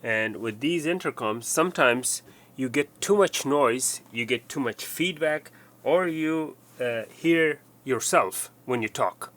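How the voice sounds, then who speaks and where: A man's voice: medium pitch, slow pace, a bit bright. A man presenting an unscripted technical tutorial, explaining step by step in a somewhat hesitant way.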